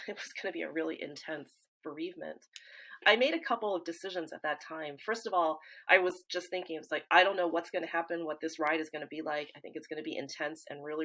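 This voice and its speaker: Low-voiced woman speaking thoughtfully. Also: fairly bright tone, poor audio. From East Coast of the United States. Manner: thoughtful, measured, with frequent fillers and restarts. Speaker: interview guest, grief support founder. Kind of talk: reflective interview talk.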